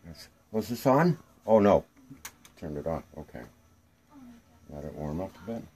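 A man's voice: sits low, very animated, measured pace, warm tone, husky. An older man giving a casual hands-on demonstration, talking tersely as he works indoors. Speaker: reel-to-reel technician. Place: small room indoors.

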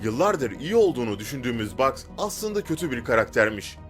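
Male narrator, scripted countdown read, highly animated pitch, music underneath. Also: fairly quick.

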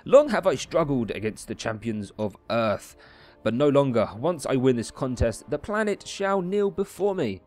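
A man's voice: medium pitch, big pitch swings, normal speed, a little mellow, close to an everyday voice. A man voicing a grandiose, pompous villain conqueror from a comic-book video game, in a declamatory manner. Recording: music behind the voice.

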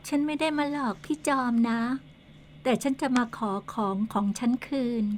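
An actress playing a gentle but insistent young female ghost in a radio ghost drama, speaking softly and teasingly. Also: sits high, normal speed, natural voice. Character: soft-spoken young female ghost, coaxing.

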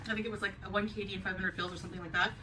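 A woman's voice: medium pitch, little pitch movement, racing. A woman vlogger chats in a rambling way as she unpacks groceries in her home kitchen. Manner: chatty, rambling, light laughs. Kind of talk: grocery haul vlog. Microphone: camera on the counter. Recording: distant camera mic, faint room hum, played back.

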